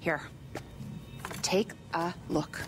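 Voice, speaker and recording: An actress playing a frank, weary doctor with a dry, conversational manner in game cutscene dialogue. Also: female voice, on the low side, fairly even intonation, very slow, music in the background. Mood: wry, businesslike. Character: wry, weary female doctor briefing an agent.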